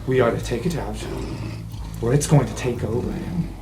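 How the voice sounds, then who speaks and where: A man's voice: medium pitch, normal speed, background noise, close to an everyday voice. A man voicing a commanding, impatient male wizard professor in a tabletop roleplay. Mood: grave. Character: bossy, brusque male wizard professor, Dean Valentin. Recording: roomy sound with music behind.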